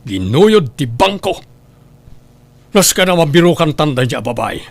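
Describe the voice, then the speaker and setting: A man's voice: on the high side, big pitch swings, moderate pace, no echo. A man with an Ilocano accent playing an angry, money-worried businessman father in an audio drama. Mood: agitated. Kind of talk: Ilocano radio-style drama acting.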